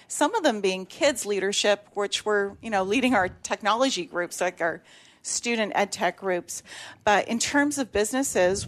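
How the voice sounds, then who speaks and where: Woman principal, Greek first language, earnest radio guest with fillers. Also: mid-range voice. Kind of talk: radio interview answers.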